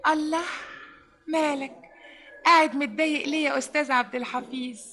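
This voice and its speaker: An Egyptian actress playing a coquettish, naive and teasing spy accomplice in an old radio comedy, coy and melodramatic. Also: female voice, high voice, fairly even intonation, fairly quick, a bit bright. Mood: welcoming.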